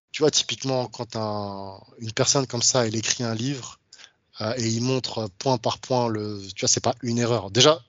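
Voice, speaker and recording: A man's voice: mid-range voice, dry recording, dull audio. A man speaking as an interview guest in casual, argumentative conversation, often stumbling and restarting his phrases. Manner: argumentative, frequent stammered restarts; informal, addresses interviewer familiarly.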